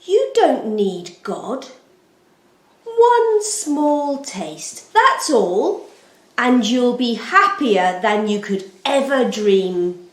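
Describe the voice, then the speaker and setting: A woman voicing a sly, deceitful storybook serpent who coaxes in a slow, insinuating whisper. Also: a little high, big pitch swings, exaggerated voice. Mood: coaxing. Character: sly tempting serpent, male, coaxing and deceitful. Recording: roomy, echoing sound.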